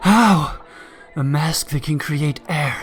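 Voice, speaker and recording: Guy as anxious hunted cartoon hero, kinda high voice, earnest dramatic monologue, music behind. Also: takes it slowly. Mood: anxious, resolute. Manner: anxious, dramatic self-talk. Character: desperate, earnest hunted hero wrestling with his duty. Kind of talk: fan dub animation acting.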